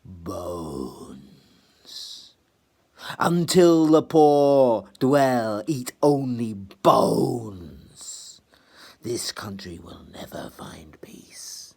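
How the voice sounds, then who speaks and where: A man's voice: medium pitch, creaky, a little mellow, husky, exaggerated voice. A man voicing a wicked, twisted-faced political economist who shrieks in outrage in a told story. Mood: menacing. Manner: shrieking, outraged, then menacing.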